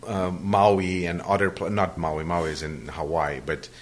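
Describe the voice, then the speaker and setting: A man's voice: low voice, little pitch movement, unhurried. A Brazilian man, native Portuguese speaker, answering interview questions in a hesitant conversational way, often restarting his sentences. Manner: hesitant, many restarts and fillers.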